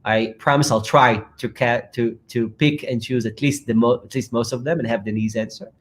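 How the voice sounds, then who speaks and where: A man moderating a live online fireside chat, upbeat and quick through long sentences on a video call. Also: mid-range voice, steady tone. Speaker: moderator and startup founder.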